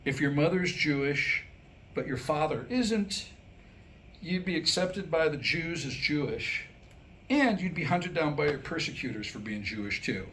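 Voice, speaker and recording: A man's voice: fairly high pitch, lively intonation, measured pace, a bit bright, slight background noise. A man delivers a humorous scripted monologue to a live audience in a wry, mock-scholarly way, posing rhetorical questions. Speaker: comedic speaker reading his own script. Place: live reading before a small audience.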